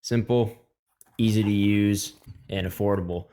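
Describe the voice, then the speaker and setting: A man's voice: on the low side, steady tone, unhurried, warm tone. A young man hosting his own channel, walking through a homemade emergency radio kit in a plain, matter-of-fact way. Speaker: host. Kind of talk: casual gear walkthrough.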